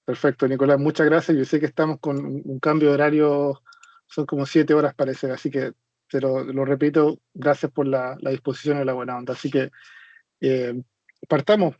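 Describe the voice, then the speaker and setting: A man's voice: mid-range voice, level tone, moderate pace, darkish tone, no echo, highs cut off. A man hosting a casual podcast interview in a polite, informal way. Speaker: podcast host.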